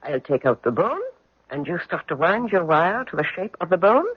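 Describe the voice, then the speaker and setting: An actress playing a devoted, resourceful general's wife in an old-time radio drama, with an earnest, measured manner. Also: female voice, fairly low pitch, very animated, breathy edge. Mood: practical, busy. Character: devoted, resourceful French general's wife, anxious but practical. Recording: tinny, band-limited old radio broadcast, music.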